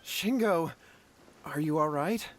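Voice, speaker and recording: A man's voice: fairly high pitch, expressive, slow pace, soft background music. A man voicing a worried, deferential young brother in an anime game, earnest and respectful.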